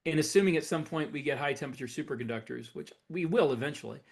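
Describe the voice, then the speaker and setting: A man with a moderately high voice speaks as a podcast co-host. He talks through speculative technical ideas casually, explaining them in measured, halting phrases. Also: creaky. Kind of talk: casual speculative tech discussion.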